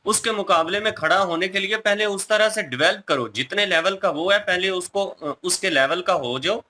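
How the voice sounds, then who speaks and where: Bright, higher-voiced man giving opinions. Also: moderate pace. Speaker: reaction video co-host. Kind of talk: casual opinion commentary.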